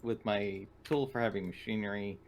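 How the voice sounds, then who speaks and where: A man talking in a casual, halting way as a player in an online tabletop game. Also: on the low side, vocal fry, very noisy.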